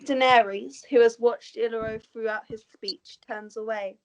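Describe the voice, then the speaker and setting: A woman's voice: on the high side, steady tone, normal speed, poor audio. A woman narrating the stage directions of a script at an amateur table read.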